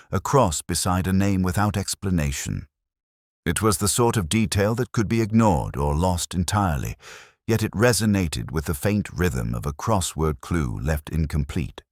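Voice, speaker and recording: A man narrating a scripted first-person detective mystery in a measured, reflective, literary manner. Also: low voice, dry recording, studio quality.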